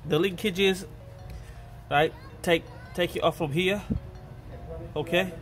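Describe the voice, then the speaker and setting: A man's voice: sits high, steady tone, takes it slowly, over music. A man with a blocked, stuffy nose talks viewers through a car repair in a casual, chatty way, recorded on a phone held close to the engine. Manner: chatty, informal, addresses viewers as guys. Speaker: mechanic presenting a repair video. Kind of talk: casual how-to commentary while working.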